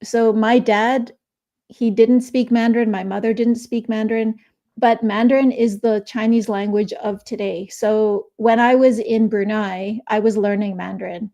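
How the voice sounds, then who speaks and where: A middle-aged woman speaks on a video call as a guest author, her voice mellow and a bit high. She explains her ideas at length, loosely and chattily, with plenty of fillers. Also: fairly even intonation, normal speed. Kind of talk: casual interview chat. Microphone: remote video call.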